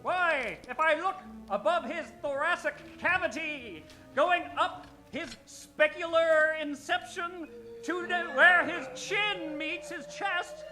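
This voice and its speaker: An actor playing an excitable, pedantic professor in a comedic old-time radio adventure, theatrical and prone to flustered rambling. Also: male voice, very high voice, takes it slowly, faint music behind, exaggerated voice. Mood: astonished.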